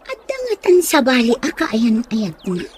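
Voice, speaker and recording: A woman's voice: high voice, huge pitch swings, unhurried, darkish tone, a little hiss or hum, full character voice. A woman playing an inquisitive, practical young friend in an Ilocano radio drama, with a Filipino Ilocano accent. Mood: inquisitive, concerned. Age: young adult.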